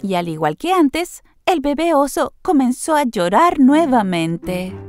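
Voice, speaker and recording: A woman narrating a scripted children's story in an expressive storytelling manner. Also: high voice, measured pace, soft background music.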